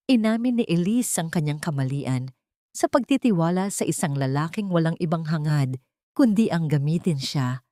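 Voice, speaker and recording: A woman reading a scripted, dramatic love story in a measured, solemn, melodramatic way. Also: lowish voice, dark tone, no room sound, clean studio sound. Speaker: story narrator.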